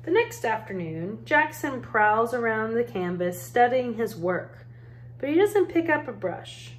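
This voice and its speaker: A woman reading a children's picture book aloud as an educator, in measured, deliberate phrases with pauses. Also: fairly high pitch, very animated. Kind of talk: storybook read-aloud narration. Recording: roomy echo, faint background noise.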